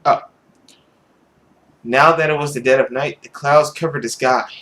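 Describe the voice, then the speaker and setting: A man's voice: medium pitch, fairly even intonation, normal speed, a bit bright. A man hosting a gaming video, switching between casual commentary and dramatic narration of a visual novel.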